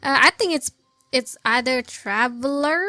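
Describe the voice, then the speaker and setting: A woman's voice: high-pitched, measured pace, sharp tone, no echo. A woman giving casual gameplay commentary, hesitant and stammering, often repeating her words. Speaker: game streamer reacting to story dialogue.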